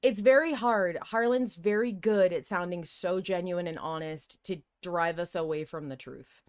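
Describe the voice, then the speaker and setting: A woman's voice: lowish voice, normal speed, smooth, no echo, clean studio sound. A woman hosting a podcast, chatting casually and rephrasing as she goes. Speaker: podcast host. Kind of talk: casual discussion of a fiction podcast.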